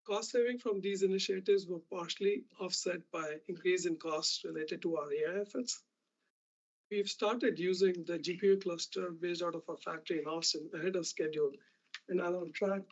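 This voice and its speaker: A man's voice: high voice, darkish tone. A man in an executive role delivering a scripted financial report on an earnings call. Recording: dull lo-fi conference call webcast.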